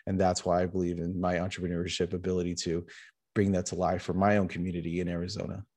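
A man with a deep voice and very little pitch movement speaks as a panelist. He makes his case earnestly, in the style of a public discussion. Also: flat delivery, quick pace, mellow.

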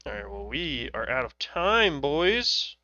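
A man's voice: fairly high pitch, very animated, slow pace, pushed and bright, dry recording, muffled recording. A young man hosting a casual podcast, joking and laughing often with friends. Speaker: podcast host.

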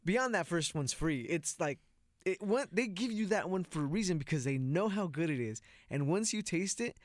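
A man's voice: high voice, lively intonation, normal speed, no echo, studio quality. A man co-hosting a casual food chat, agreeable and conversational, repeating himself for emphasis. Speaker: podcast co-host.

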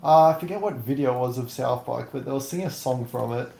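A man's voice: medium pitch, fast talker, gravelly, mellow, roomy sound. A young man telling stories in a casual podcast chat, giggling and making fun of himself. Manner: giggly, self-mocking storyteller. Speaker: podcast co-host.

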